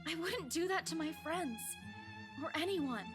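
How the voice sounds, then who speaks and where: A woman's voice: extremely high pitch, lively intonation, a little slow. A woman voice-acting a distressed, pleading young woman in a visual novel game, hesitant and stammering. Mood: defensive, anguished. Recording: music behind the voice.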